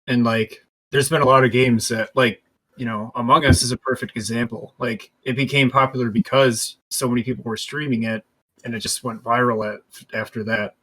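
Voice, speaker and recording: A man's voice: mid-range voice, steady tone, moderate pace, a bit bright, poor audio. A man co-hosting a podcast, talking casually and informally as he agrees and adds his points. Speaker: podcast co-host. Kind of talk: casual discussion.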